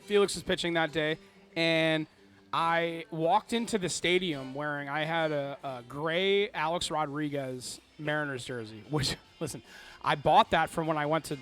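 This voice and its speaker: A young adult man hosting a podcast, with a loud voice, telling fan stories animatedly and swearing freely in casual chat. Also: fairly high pitch, normal speed, soft background music. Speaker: podcast host.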